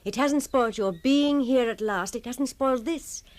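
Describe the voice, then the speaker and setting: An actress playing a devoted, perceptive gentlewoman, frank and steadfast, with measured literary phrasing in a ghost-story drama. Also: a little high, natural voice. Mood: gently reassuring.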